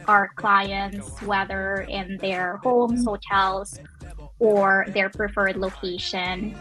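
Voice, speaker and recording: Bright-voiced woman guest. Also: medium pitch, low-quality recording. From Philippines. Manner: eager, many fillers and restarts. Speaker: guest, nurse and business owner. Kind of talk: casual interview talk.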